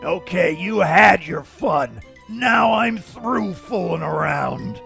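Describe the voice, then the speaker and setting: A man voicing a menacing, sneering sand villain in a comic dub, with gravelly, taunting, slangy tough-guy delivery. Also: high-pitched, expressive, slow pace, sharp tone, soft background music, exaggerated voice. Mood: irritated, sneering.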